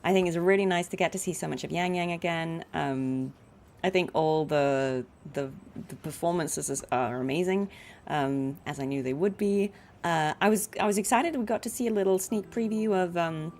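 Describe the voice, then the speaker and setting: A woman's voice: fairly low pitch, normal speed, darkish tone, a little hiss or hum. A woman streamer chatting casually and appreciatively, rambling from thought to thought. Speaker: streamer and voice actress. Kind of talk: casual livestream chat.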